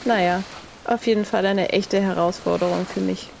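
A woman's voice: medium pitch, steady tone, normal speed, gravelly, a little mellow, pitched above the performer's own voice, poor audio. A woman voicing a chaotic, wry pirate storekeeper who doubts herself, in an audio play. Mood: resigned worry. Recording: faint background noise.

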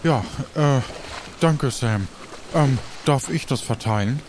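A man's voice: medium pitch, animated, takes it slowly, background noise. A man casually improvising narration and banter as game master of an audio play. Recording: music behind the voice.

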